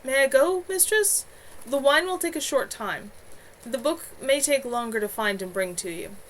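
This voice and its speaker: A woman voicing a male demon in a storybook, formal and deliberate as it bargains with its summoners. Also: high voice, expressive, a bit bright, pitched above the performer's own voice. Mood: eager, bargaining. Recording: clearly noisy home livestream.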